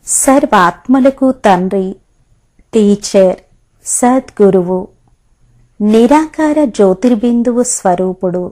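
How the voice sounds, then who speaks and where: A woman gives a scripted devotional narration at a medium pitch. She goes very slowly, placing each short phrase separately and with measured care. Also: a little mellow. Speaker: religious presenter.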